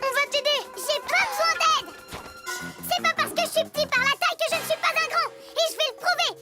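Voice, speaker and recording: A voice actor playing a plucky, impulsive young child superhero in an animated cartoon, excitable and full of exclamations. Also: very high-pitched, a little fast, very echoey, distant mic. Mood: defiant. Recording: loud music bed behind dialogue. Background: loud cartoon soundtrack music.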